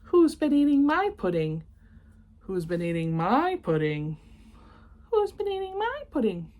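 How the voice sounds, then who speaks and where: A woman's voice: fairly high pitch, huge pitch swings, measured pace, a little mellow, pitched above the performer's own voice. A woman voicing indignant, complaining bears in a children's storybook. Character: complaining storybook bears wanting their pudding.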